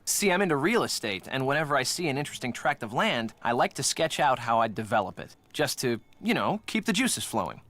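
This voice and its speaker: A man's voice: mid-range voice, sing-song, fairly quick, hardly any pauses, fairly bright tone. A man acting a breezy, evasive real-estate developer in a video game, chatty and emphatic. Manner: chatty, emphatic, brushes things off. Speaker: video game character actor. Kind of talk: scripted game dialogue.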